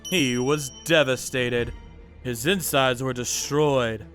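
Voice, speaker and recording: A man's voice: mid-range voice, slow pace, a bit bright, soft background music. A man voicing a cold, vengeful vigilante who narrates his revenge in a manga dub, menacing and mocking. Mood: cold, contemptuous. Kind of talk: first-person manga dub narration with acted dialogue.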